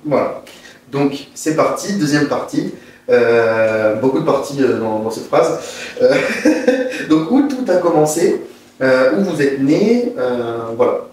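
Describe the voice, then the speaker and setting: A man's voice: medium pitch, animated, slow, darkish tone. A man conducting an informal interview, putting his questions in a hesitant, loosely phrased way. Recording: very echoey room, distant mic, faint noise.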